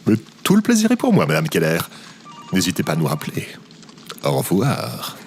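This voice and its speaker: A man's voice: sits low, wildly swooping pitch, moderate pace, very noisy. A man playing a cheerful, earnest space station operator in an audio comedy, polite and naively enthusiastic. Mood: cheerful, courteous. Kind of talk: scripted audio comedy fiction acting.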